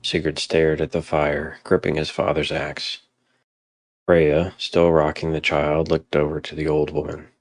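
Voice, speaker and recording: A man narrating a dramatic tale with an extremely deep, creaky voice. His delivery is solemn, measured and restrained in its inflection, recorded close and dry. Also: little pitch movement, no room sound. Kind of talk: scripted dramatic story narration.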